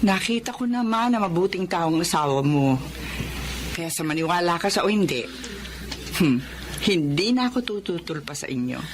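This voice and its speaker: Expressive Filipino mother-in-law, played by an actress. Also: on the low side, normal speed, noisy background, lightly acted. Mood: warm, reconciled. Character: warm, approving middle-aged mother-in-law, once disapproving. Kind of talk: Filipino radio drama acting.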